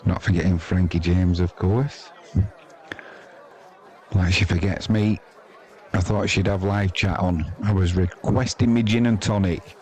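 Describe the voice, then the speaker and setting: A man's voice: low voice, fairly even intonation, a little slow, long pauses, a little mellow, a faint crowd. A man with an English accent giving chatty, wry, self-deprecating amateur live commentary on cricket. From England.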